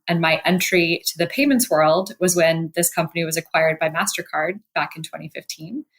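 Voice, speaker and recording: A woman from Wisconsin answering interview questions, her voice on the low side. She speaks fluently and in a composed, articulate way, as a guest explaining her work. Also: fairly bright tone. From Milwaukee, Wisconsin. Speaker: podcast guest, venture investor.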